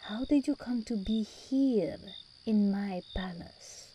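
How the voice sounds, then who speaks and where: A woman's voice: medium pitch, slow, warm tone, a little hiss or hum, natural voice. A woman playing a regal, seductive demon princess in an ASMR roleplay, theatrical and teasing, commanding yet tender with her endearments. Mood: intrigued, teasing.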